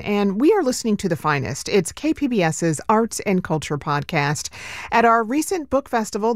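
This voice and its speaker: A woman's voice: medium pitch, no echo, studio quality. A woman hosts a radio show and reads a polished introduction.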